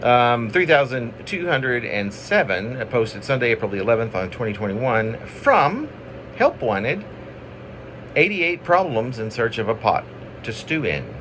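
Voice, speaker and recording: A man's voice: mid-range voice, sing-song, a little slow, a bit bright, slight background noise. A man reading his own poems in an informal, rambling way, with self-deprecating asides and playful drama. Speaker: poet reading own work.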